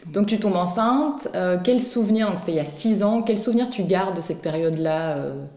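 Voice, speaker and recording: A woman's voice: medium pitch, expressive, a little fast, dark tone, echoey room. A woman hosting an interview podcast, asking questions in a friendly way. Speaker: podcast host.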